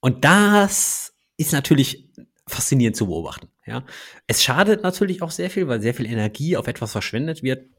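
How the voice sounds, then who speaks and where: A man with a mid-range voice co-hosts a casual tech podcast. He talks in a jokey, teasing manner and tells things like animated little stories. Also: medium pitch, moderate pace. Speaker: podcast co-host, engineering manager.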